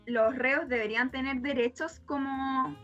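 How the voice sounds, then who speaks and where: A woman's voice: high-pitched, steady tone, a little slow, fairly bright tone, lo-fi sound, faint music behind. A woman with a Chilean accent chatting casually and slangily as a panelist. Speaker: podcast panelist.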